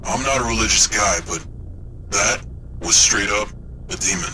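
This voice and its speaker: An actor playing a skeptical, blunt crew chief in a dramatic sci-fi game cutscene. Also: male voice, fairly low pitch, normal speed, sharp tone, slight background noise. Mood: incredulous.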